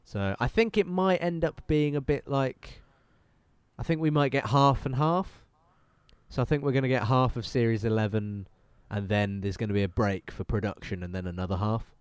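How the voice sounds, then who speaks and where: A man's voice: medium pitch, clean tone, no echo. A man co-hosting a casual podcast, rambling and swearing, with a bunged-up nose. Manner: rambling, sweary, quick to laugh. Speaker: podcast co-host. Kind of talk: casual chat.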